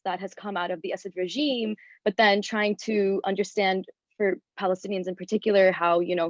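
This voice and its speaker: A woman's voice: medium pitch, no room sound. A woman from Syria asking a long question in an online Q&A, thinking aloud and searching for her words with many fillers. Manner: searching, hesitant, full of fillers. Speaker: audience member asking a question. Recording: dry, dull lo-fi online call audio.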